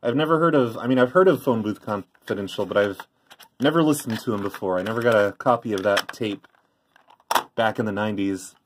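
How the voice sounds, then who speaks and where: A man's voice: lowish voice, a little fast, slight background noise. A middle-aged man giving an offhand, rambling show-and-tell commentary in a casual way. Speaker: vlogger, former prank call tape trader. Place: indoors by a tape deck.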